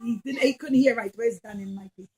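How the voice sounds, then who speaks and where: A woman teaching young children a religious story in an expressive way, acting out the dialogue and putting questions to her listeners. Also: on the high side, fast, breathy edge. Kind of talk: storytelling puppet show lesson for young children.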